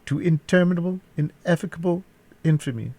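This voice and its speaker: Audiobook villain played by a man: aristocratic male captor, mocking, threatening, pitched well above the narrator. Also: a little fast, a little mellow. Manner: jeering, mock-cajoling, threatening. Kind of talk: classic novel audiobook character voice.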